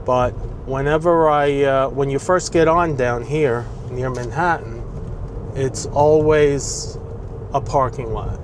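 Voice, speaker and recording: A man's voice: medium pitch, slow pace. A man in his sixties with a New York accent, chatting casually from his car in city traffic, opinionated and rambling. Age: 60s. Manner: opinionated, rambling, grumbling asides. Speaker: vlogger. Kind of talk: casual chat while driving. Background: faint traffic noise.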